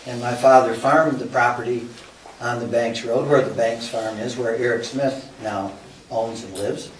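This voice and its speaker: An older man from central New York giving a plain, unhurried public comment at a town hearing. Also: mid-range voice, steady tone. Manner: plain, unhurried, conversational with pauses. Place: community center hall, indoors. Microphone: podium mic from a distance. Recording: echoey hall, distant mic, faint noise.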